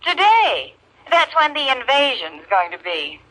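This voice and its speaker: A breezy housewife chatting on the telephone in an old radio drama. Her voice is high and bright, very animated in its swoops, with a gossipy, sociable manner. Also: a little slow, exaggerated voice, poor audio. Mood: chatty, amused. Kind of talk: 1950s radio acting.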